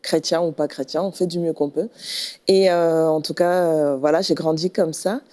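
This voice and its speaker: Low, mellow-voiced Frenchwoman. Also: fairly even intonation, moderate pace. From Paris region, France. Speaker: pastor, guest giving testimony. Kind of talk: conversational personal testimony.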